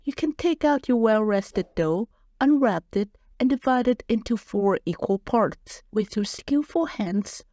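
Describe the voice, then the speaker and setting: A woman's voice: medium pitch, mellow, no echo, studio quality. A woman with a non-native English accent narrating a home cooking recipe as a voice-over, cheerful and playful. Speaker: cooking channel host.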